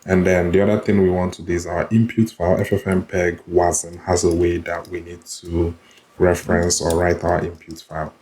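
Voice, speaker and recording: A man's voice: deep voice, level tone, normal speed, darkish tone. A man walking through code step by step in a screen-recorded tutorial, repeating himself as he explains. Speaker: coding tutorial presenter. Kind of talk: screen-recorded coding walkthrough.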